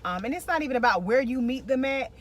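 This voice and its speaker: A woman's voice: sits high, expressive, fast talker. An American woman co-hosting a relationship vlog, giving casual advice and repeating phrases for emphasis.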